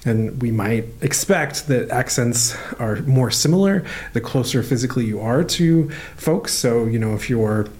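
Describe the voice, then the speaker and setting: A male professor answers questions at a steady, everyday pitch. He explains carefully, pausing with "um" and "uh" as he thinks aloud. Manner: thoughtful, many "um" and "uh" while explaining. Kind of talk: relaxed explanatory interview answers.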